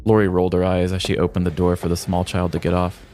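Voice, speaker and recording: An American man reading a scripted comedic story in a deliberate, theatrical storyteller's manner. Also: low-pitched, little pitch movement, dark tone, slight background noise. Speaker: podcast host telling a story.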